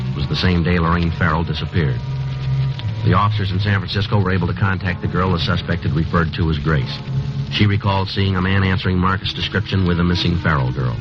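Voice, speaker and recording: A man's voice: low voice, close to an everyday voice. A man playing a terse, methodical police sergeant who narrates and questions in a 1950s radio drama, clipped and matter-of-fact, over music on an old lo-fi broadcast.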